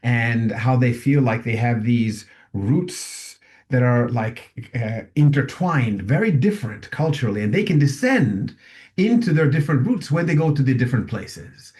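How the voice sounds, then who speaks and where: A man speaks in a musing, philosophical way, with a voice of ordinary middle pitch. He halts often, fills gaps with "um" and "uh", and restarts his phrases as he thinks aloud. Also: animated, darkish tone. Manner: halting, many restarts and fillers. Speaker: session speaker. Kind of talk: reflective talk at an online session.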